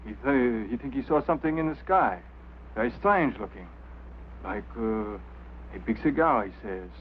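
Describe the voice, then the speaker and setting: An actor playing a stubborn, put-upon road crew foreman with a French Canadian accent and halting phrasing, in mid-century screen drama style. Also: medium pitch, expressive, dull audio, close to an everyday voice. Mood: uneasy, wondering. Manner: halting, non-native phrasing. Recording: thin, noisy old film soundtrack.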